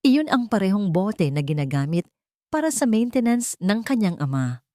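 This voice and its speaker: A woman's voice: medium pitch, big pitch swings, normal speed, dark tone, no room sound, studio quality. A woman reading a scripted, dramatic romance story as its narrator.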